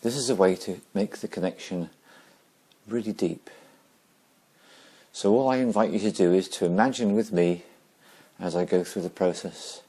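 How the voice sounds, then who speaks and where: A man leading a guided meditation slowly and gently, pausing between short phrases. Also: deep voice, slightly rough.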